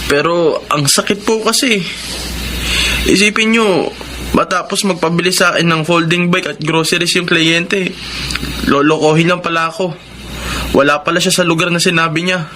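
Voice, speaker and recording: A man's voice: fairly high pitch, steady tone, moderate pace, long pauses, natural voice. An actor playing a humble young Filipino delivery boy in a radio drama, polite, hesitant and plaintive. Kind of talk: Tagalog radio drama acting. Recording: clear background noise.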